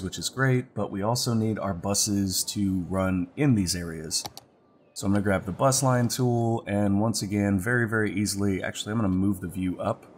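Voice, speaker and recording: A congested man giving casual gameplay commentary as a let's play host, relaxed and thinking aloud as he clicks. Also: on the low side, slight background noise. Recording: background music under voice.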